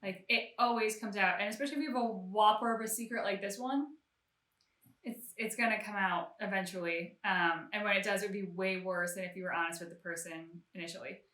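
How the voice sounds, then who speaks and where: A woman's voice: mid-range voice, fairly quick, pushed and bright. A woman co-hosting a reaction show, talking through a game story in a casual, emphatic, explanatory way. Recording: roomy, echoey home-recorded sound.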